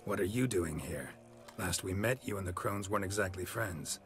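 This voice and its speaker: A man playing a stoic wandering monster hunter in a fantasy game, clipped and deadpan. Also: sits low, normal speed, soft background music. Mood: dry, firm. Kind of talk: fantasy video game acting.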